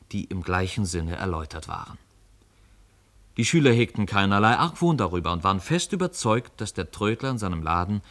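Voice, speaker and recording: A man narrating a literary radio play as a scripted storyteller, measured and articulate. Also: sits low.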